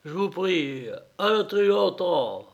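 Storybook bully in a mask: loud, jeering, commanding, read by a man. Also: sits high, animated, very slow, vocal fry, lo-fi sound, pitched above the performer's own voice, exaggerated voice. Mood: rowdy. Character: rowdy drunk masked man, bossy and mocking.

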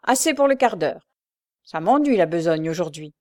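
A woman's voice: fairly low pitch, expressive, moderate pace, darkish tone, dry recording, clean studio sound, close to an everyday voice. Woman acting a swaggering, domineering young brute. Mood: offhand. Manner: curt, domineering, mocking slangy speech. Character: swaggering, bullying elder son, lazy handsome brute.

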